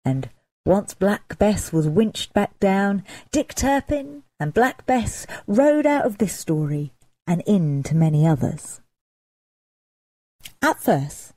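A woman storyteller narrating a folk tale dramatically, with expressive, deliberate emphasis. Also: medium pitch, dry recording.

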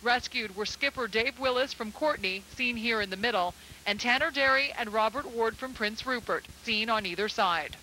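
A woman's voice: a little high, moderate pace, bright, forceful tone, slight background noise, low-quality recording. A woman reporter reading a scripted news voice-over with urgent, dramatic delivery.

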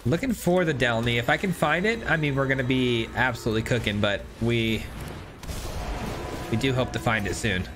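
A man's voice: mid-range voice, normal speed, music in the background. Upbeat young man commentating a card game. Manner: upbeat, chatty, hedging restarts. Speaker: gameplay commentator. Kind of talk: casual gameplay commentary.

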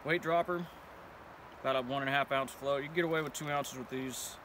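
A man's voice: mid-range voice, brisk. A young man explaining step by step how he ties his fishing rig on a riverbank, in a laid-back, plainspoken and slangy way. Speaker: fishing vlogger. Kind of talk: casual how-to demonstration. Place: riverbank outdoors. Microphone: camera a few feet away. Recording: outdoor camera audio from a distance, steady background noise.

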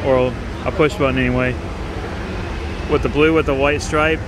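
A man's voice: medium pitch, steady tone, moderate pace. A young man giving informal, enthusiastic commentary while walking around cars at an outdoor auction lot, often saying "like". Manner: informal, enthusiastic, many "like" fillers. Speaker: car show videographer. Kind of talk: casual walkaround commentary. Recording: outdoor noise behind voice.

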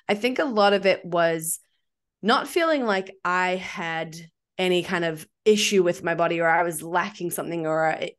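A woman in her thirties with a New Zealand accent speaks in a low register. She talks candidly and reflectively, often restarting her sentences. She is recorded on a studio mic from a small room during a video call. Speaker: guest, CrossFit coach and athlete. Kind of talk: casual interview talk. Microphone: studio mic with headphones.

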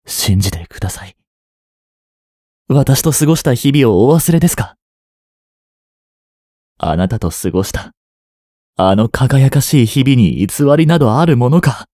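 A young man in his twenties playing a treacherous, smooth-tongued captive prince and spy in a Japanese audio drama, with courteous, theatrical speech that can turn mocking. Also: medium pitch, expressive, warm tone, dry recording, clean studio sound. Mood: earnest pleading. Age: 20s. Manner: slow, theatrical, courteous speech slipping into taunts. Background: background music.

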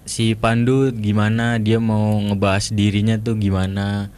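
A young man, a comedian guest on a radio show, talking in a relaxed, joking way in a small studio on a boom-arm mic. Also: a little slow, clear, resonant tone. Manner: relaxed, joking, trails off mid-sentence. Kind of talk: casual radio interview.

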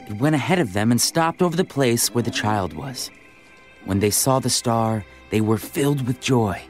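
A man reading scripted narration for a dramatized audio Bible. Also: mid-range voice. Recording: faint music behind the voice. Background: music.

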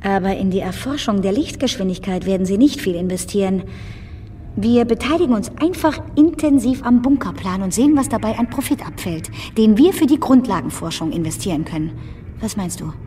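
An actress in a radio drama playing a brisk, pragmatic, outspoken woman. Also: mid-range voice, expressive, warm tone, a little hiss or hum, close to an everyday voice. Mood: opinionated, persuasive. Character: brisk, outspoken woman business confidante in a future world. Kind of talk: German radio drama acting. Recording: studio radio drama with faint music.

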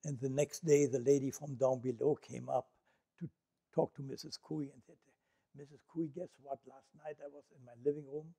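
An older man with a Dutch accent gives a reflective, storytelling lecture from an auditorium stage, pausing often on fillers. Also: medium pitch, fairly even intonation, vocal fry, dark tone. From Netherlands. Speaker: Holocaust survivor speaker.

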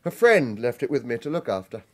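A man's voice: medium pitch, sing-song, a little fast, lightly acted. An actor playing an evasive, irritable retired British hitman under interrogation in a radio crime drama, answering curtly. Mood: irritated, evasive. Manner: curt, irritable, stonewalling.

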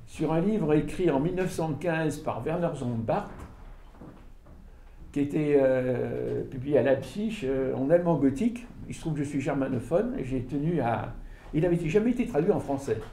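Older man, author lecturing, dark mellow voice, halting and animated, caught in a roomy hall by a far camera. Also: a little high, slight background noise. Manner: animated, halting delivery with frequent fillers and restarts. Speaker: author giving a book talk. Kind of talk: informal lecture to an audience. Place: small room with audience, indoors. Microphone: far camera, no mic seen. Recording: echoey room from far off.

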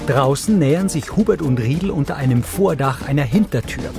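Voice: medium pitch, expressive, brisk, creaky, music in the background. A narrator reading scripted audio description for a television series.

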